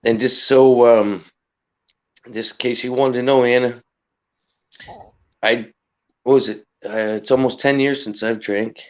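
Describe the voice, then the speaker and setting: A man with a raspy voice talks in a loose, rambling way, sliding into earnest advice about respect and inner energy. His voice sits at an ordinary middle pitch. The sound is dull and lo-fi. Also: steady tone, measured pace, pauses often. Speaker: livestream host. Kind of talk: casual livestream chat.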